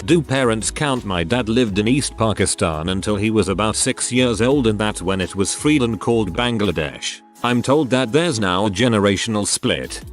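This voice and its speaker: A man narrating a series of reader-submitted stories in a measured, even reading style. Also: on the low side. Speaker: narrator reading online posts. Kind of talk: scripted narration.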